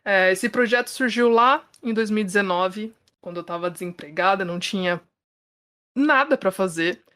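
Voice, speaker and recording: A woman's voice: mid-range voice, normal speed, a bit bright. Chatty São woman in her thirties. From São Paulo, Brazil. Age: 30s. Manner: chatty, laughs often, frequent tag questions. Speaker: podcast co-host. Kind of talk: casual podcast chat.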